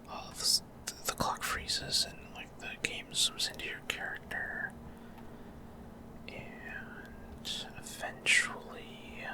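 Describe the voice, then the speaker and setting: Whispering man narrating gameplay. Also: very high-pitched. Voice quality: breathy unvoiced whisper. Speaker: gaming ASMR creator. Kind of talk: whispered ASMR gameplay commentary.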